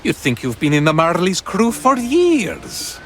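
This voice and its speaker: A sly gentleman pirate in a video game. He speaks slowly and theatrically, his pitch swinging widely in smug, cryptic teasing. Faint music sits behind him. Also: wildly swooping pitch, exaggerated voice.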